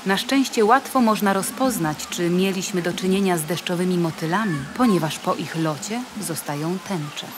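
A woman's voice: on the low side, moderate pace, hardly any pauses, background noise. A woman reading scripted narration in the style of a nature documentary for an audio play. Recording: music behind the voice. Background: music.

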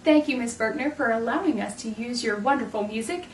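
Woman, 30s, preschool storytime reader. High-pitched and expressive, recorded at a distance with faint noise. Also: a little fast, reverberant. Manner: expressive, clearly paced delivery for young children. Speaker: children's storytime host. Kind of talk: picture book read-aloud for preschoolers. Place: indoors in a room, standing before backdrop.

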